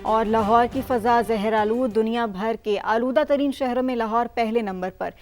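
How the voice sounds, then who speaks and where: A woman's voice: on the high side, moderate pace, a little mellow, soft background music. A woman with a Pakistani accent reading a scripted news bulletin as anchor and handing over to reporters.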